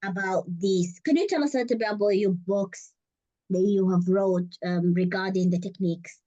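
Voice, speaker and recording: A woman's voice: medium pitch, moderate pace, warm tone, dull audio. A woman hosting an interview podcast, gushing and exclaiming often as she asks questions. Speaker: podcast host. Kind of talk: casual interview chat.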